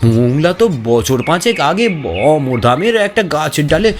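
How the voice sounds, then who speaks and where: A man voicing a frightened, astonished village grocer in an audio ghost story, with a trembling, halting manner. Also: on the high side, sing-song, pitched above the performer's own voice. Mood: grave, uneasy. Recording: long reverb, background music.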